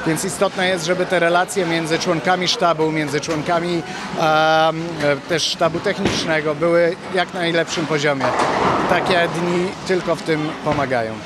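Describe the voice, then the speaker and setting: Man with a high voice in a noisy bowling alley. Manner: calm, measured explanations. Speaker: team psychologist. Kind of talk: on-camera interview. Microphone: handheld reporter mic. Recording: noisy venue background.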